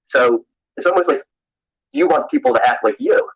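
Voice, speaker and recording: A man's voice: very high voice, huge pitch swings, moderate pace, gravelly, slightly rough. A man conducting an interview with probing questions, heard on muffled, lo-fi played-back audio.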